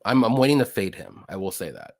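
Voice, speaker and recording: Lively chatty man hosting a live show. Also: on the low side, fast talker, gravelly. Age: 30s. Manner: chatty, shouts out viewers by name. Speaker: host of a betting picks show. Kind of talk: casual live-stream banter.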